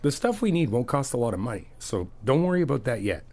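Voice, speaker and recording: A man's voice: medium pitch, very animated, quick pace, creaky, a little mellow. A man doing a friendly ASMR roleplay, chatty and teasing, reassuring the listener as he helps out. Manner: reassuring, helpful.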